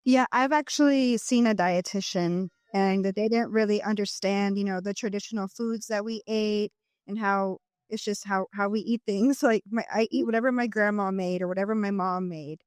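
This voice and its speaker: A woman hosting a podcast who chats in a relaxed, conversational way with a guest. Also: medium pitch, normal speed, clear, resonant tone, no echo. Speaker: podcast host. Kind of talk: casual interview chat.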